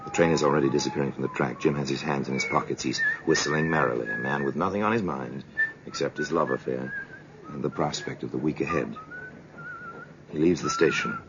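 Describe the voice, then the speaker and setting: An actor playing a worldly, sardonic narrator of murder tales in a 1950s radio drama, speaking in a measured, ominous, theatrical way. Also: male voice, very deep voice, exaggerated voice. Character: worldly, sardonic male storyteller of true murders. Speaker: narrator of a crime anthology. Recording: dull, noisy 1950s radio recording.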